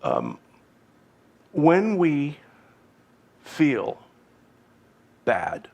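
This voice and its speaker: A man with a Canadian accent giving an informal dharma teaching, talking in a halting, digressive way with wry, self-deprecating humor. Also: fairly high pitch, wildly swooping pitch, gravelly, darkish tone. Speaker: Buddhist teacher.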